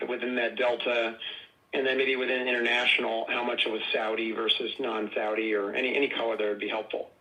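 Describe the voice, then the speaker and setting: A man's voice: mid-range voice, bright, forceful tone, poor audio. A man calling in as a financial analyst, putting questions courteously and conversationally on an earnings call.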